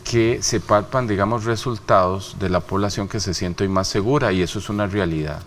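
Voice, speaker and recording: A man's voice: lowish voice, fairly quick, slight background noise. A man from Rica, giving emphatic interview answers full of rhetorical questions. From Costa Rica. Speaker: interview guest, human rights official.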